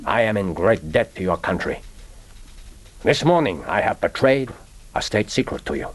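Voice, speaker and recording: An actor playing a courteous, grave middle-aged Japanese intelligence chief with a formal, measured manner in a radio play. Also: male voice, on the low side, expressive, slightly rough. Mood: grave, solemn. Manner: formal, measured, philosophical, prompting with "huh?". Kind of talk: radio drama acting.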